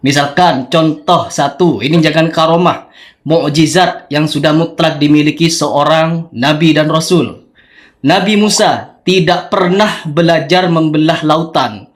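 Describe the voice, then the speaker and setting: A man's voice: a little high, unhurried. A man with an Indonesian accent, assertive and explanatory, arguing his point in a phone discussion. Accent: Indonesian, non-Sundanese.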